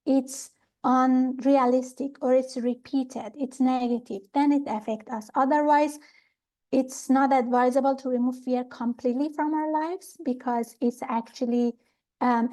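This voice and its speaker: A middle-aged woman from Iran calmly explaining pranic healing ideas in an interview, pausing often with fillers and restarts. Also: sits high. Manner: calm, thoughtful, with many fillers and restarts.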